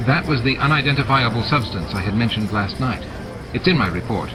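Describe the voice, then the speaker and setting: A man voicing an earnest, precise male space station doctor in a measured, clinical manner. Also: medium pitch, expressive, over music, lightly acted. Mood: puzzled, matter-of-fact. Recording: noisy audiobook with added sound effects.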